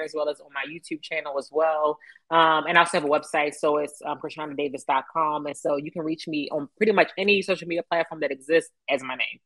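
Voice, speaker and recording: A woman in her thirties with an American accent and a low-pitched voice. She speaks as an interview guest in a conversational storytelling style. The sound is close and dry. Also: fairly bright tone, no room sound, poor audio. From Baltimore, United States. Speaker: interview guest, media professional.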